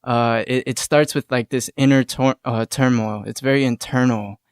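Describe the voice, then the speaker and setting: A man's voice: mid-range voice, fairly even intonation, moderate pace, clean tone, no room sound, studio quality. A man interviewing on a podcast in a casual, thoughtful way, tentative and often repeating words.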